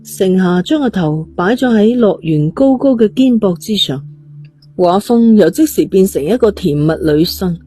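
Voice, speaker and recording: Mellow Cantonese woman narrating a story. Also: mid-range voice, expressive, moderate pace. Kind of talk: scripted dramatic narration of a suspense novel. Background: faint music.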